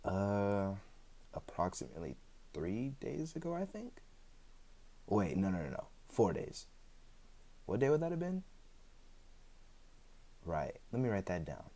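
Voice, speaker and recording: A man's voice: fairly low pitch, wildly swooping pitch, a little slow, long pauses, warm tone, heavily acted. A man playing a chatty, sheepish immortal in a roleplay audio drama, talking in a hesitant, conversational way with dry humor. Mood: musing, amused. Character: chatty, sheepish, evasive immortal man, freshly back from death.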